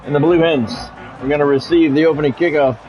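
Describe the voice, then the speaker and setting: Higher-voiced man calling football, music behind. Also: fairly even intonation, a little fast, muffled recording. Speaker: game streamer calling sports play-by-play. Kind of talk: football play-by-play mixed with casual stream chat.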